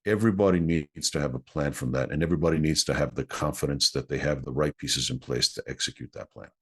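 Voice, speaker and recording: A middle-aged man whose first language is Greek, a consultant guest in an interview, explaining things in an earnest, measured way. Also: rumbling low, breathy edge. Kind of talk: interview conversation.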